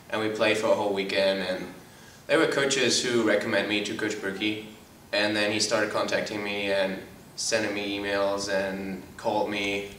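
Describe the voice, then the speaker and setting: A young man speaks in a low, very even voice that barely rises or falls. His answers are plain and padded with uhs. The sound comes from far off in an echoing room. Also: a little hiss or hum. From Germany. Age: young adult. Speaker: college soccer player. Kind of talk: interview answers. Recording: echoey room, mic far off.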